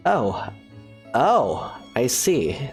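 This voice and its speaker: A woman voicing a quiet, polite young male student in a fan-dubbed visual novel, reserved and a little hesitant. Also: very deep voice, very animated, extremely slow, faint music behind, full character voice. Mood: earnest.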